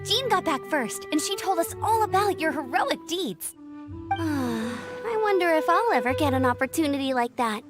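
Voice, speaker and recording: A woman's voice: high-pitched, normal speed, over music. A woman playing a spirited, earnest young scout in an anime-style fantasy video game. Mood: sincere, friendly. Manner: perky, earnest, expressive.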